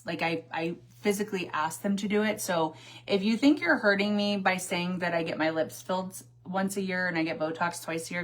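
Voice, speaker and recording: A woman in her forties chatting casually on a livestream while doing her makeup, sassy and chatty, answering viewer comments and laughing often. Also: on the low side. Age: 40s. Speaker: livestream host.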